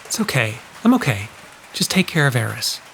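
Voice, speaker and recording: A man's voice: mid-range voice, very animated, moderate pace, vocal fry, a bit bright, rough recording. A man in an audio drama playing a kindly, easygoing, slightly sheepish guy with casual, rambling phrasing. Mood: sheepish, accommodating. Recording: faint rain noise behind the voice.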